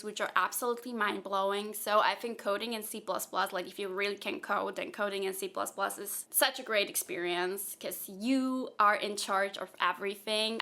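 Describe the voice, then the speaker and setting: Young woman, from Slovakia, Slovak first language, bright voice, chatty, lots of fillers, casual vlog Q&A. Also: mid-range voice. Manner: chatty, thinks aloud with many fillers. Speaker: software engineer and content creator. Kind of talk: casual Q&A vlog, answering viewer questions.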